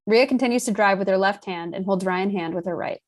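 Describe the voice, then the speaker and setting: Mid-pitched woman reading screenplay directions. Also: fairly quick, a little hiss or hum. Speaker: stage directions reader. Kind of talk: scripted narration of screenplay directions.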